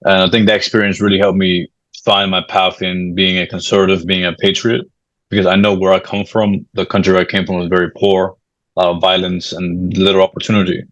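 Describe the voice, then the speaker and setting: Low, flat-voiced young man from Peru. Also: a little fast, no room sound, muffled recording. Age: young adult. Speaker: guest, club vice president. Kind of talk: interview self-introduction.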